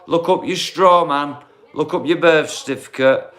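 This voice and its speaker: A man's voice: fairly high pitch, moderate pace, a bit bright. Blunt, matey man in his forties. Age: 40s. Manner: blunt, matey, swears, calls everyone mate. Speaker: livestream host. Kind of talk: casual livestream chat to viewers. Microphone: phone.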